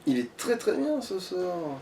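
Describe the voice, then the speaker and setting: A man's voice: high voice, very animated, unhurried, mellow, recorded from a distance, slight background noise. A man chatting casually as he plays a video game, with crude, slangy talk and frequent swearing. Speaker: let's play gamer at the controls. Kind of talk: casual gaming chat while playing.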